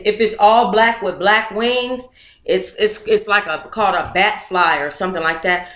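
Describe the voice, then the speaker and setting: A woman hosting a book club, reading a memoir aloud in a casual, expressive way and chatting with her listeners. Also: medium pitch, reverberant. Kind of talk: casual reading of a memoir, then chat with viewers.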